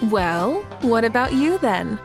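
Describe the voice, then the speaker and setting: Game leader: assured and wry, slightly high pitch, poised, measured phrasing. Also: female voice, very animated, vocal fry, soft background music, full character voice. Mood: composed. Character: composed, confident, wryly amused woman leader. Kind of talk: video game voice acting.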